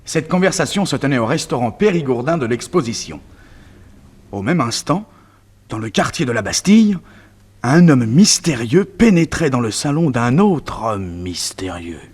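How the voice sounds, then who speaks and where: An actor narrating a 1960s French radio detective serial as the hero's nephew, in a dramatic, suspenseful manner. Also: male voice, medium pitch, sing-song, moderate pace, darkish tone, slightly rough. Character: storytelling nephew narrating his detective uncle's exploits.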